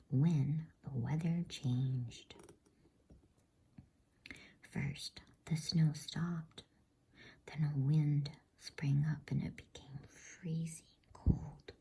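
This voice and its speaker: A woman reads a bedtime story in a breathy ASMR whisper, pitched low and dark. She takes it very slowly and softly, narrating from a storybook in a soothing, unhurried way. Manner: soft, slow, unhurried, soothing delivery.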